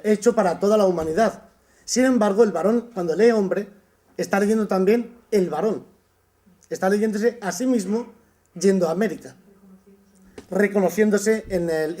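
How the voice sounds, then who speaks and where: A man from Spain giving a summer course lecture, thoughtful, often pausing with "eh" and restarting his sentences. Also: high-pitched, warm tone. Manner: thoughtful, with frequent "eh" pauses and restarts. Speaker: university lecturer.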